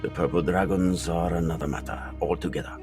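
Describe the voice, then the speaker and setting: A man acts out an aged rat ninja master in a motion comic. The voice is low and grave, with formal, measured authority. Prominent music plays underneath. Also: steady tone, natural voice. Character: old male mutant rat ninja master and father. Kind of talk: motion comic fan dub acting.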